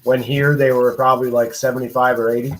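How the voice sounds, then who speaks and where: A man in his late thirties chatting casually from his living room, laid-back and quick to laugh. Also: mid-range voice, little pitch movement, a little hiss or hum, poor audio. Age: 30s. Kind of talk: casual chat.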